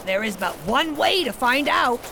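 A woman voicing a wisecracking talking animal companion in a radio play, with creaky tone and dry, sardonic quips. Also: high-pitched, expressive, normal speed, sharp tone, slight background noise, exaggerated voice. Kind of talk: theatrical radio drama acting.

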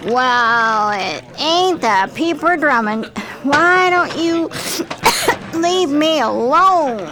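A woman's voice: high voice, expressive, drawn-out words, background noise, full character voice. A woman playing a bitter, mean, hard-drinking 1920s swindler in a radio play, snappish and slangy. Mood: bitter, drunken.

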